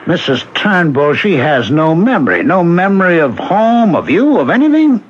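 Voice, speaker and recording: Earnest, persistent drifter, lively pitch. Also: male voice, normal speed, breathy edge. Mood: pleading, exasperated. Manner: insistent, pleading. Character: earnest, persistent working man, former hobo. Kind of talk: 1970s radio drama acting. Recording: dull, noisy old radio recording.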